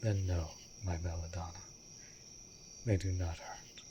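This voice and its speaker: A man voicing a wounded, courtly vampire in an intimate fantasy audio drama, tender and protective, speaking formally and in a hushed way. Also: sits low, little pitch movement, unhurried, noisy background. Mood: tender, teasing. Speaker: voice actor in a romantic audio roleplay.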